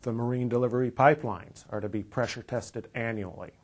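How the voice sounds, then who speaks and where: A man in his fifties with an American accent presents scripted training material in a measured, explanatory way. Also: mid-range voice, steady tone. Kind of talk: scripted instructional narration. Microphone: lapel mic.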